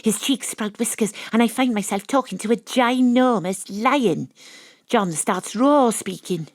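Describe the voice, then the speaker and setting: A woman's voice: fairly high pitch, measured pace, no echo, studio quality. A woman playing a tipsy amateur investigator in an audio drama, narrating in a wry, bawdy, theatrical way. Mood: wry storytelling. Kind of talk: audio drama, first-person narration and dialogue. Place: home studio, dry close sound.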